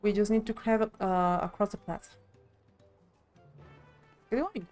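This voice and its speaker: A woman's voice: medium pitch, steady tone, a little slow, darkish tone, soft background music. A woman reading video game dialogue aloud expressively on a live stream.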